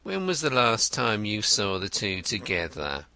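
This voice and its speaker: A man's voice: fairly low pitch, huge pitch swings, a little slow, sharp tone, exaggerated voice. Man reading as a dry, wry detective. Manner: dry, teasing, questioning. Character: shrewd, wry male detective, dry and probing.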